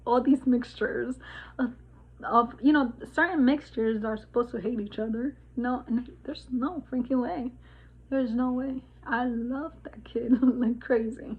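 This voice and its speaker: A woman's voice: fairly high pitch, vocal fry, slightly rough. Rambling woman in her forties, tinny sound. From Dominican Republic. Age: 40s. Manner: rambling, many fillers and restarts, laughs often. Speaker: vlogger. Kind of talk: casual spiritual vlog chat.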